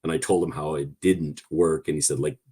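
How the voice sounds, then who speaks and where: A man's voice: a bass voice, steady tone, brisk, warm tone, breathy edge. A man in his sixties, a former test pilot, telling blunt, emphatic stories as a guest in a casual podcast chat.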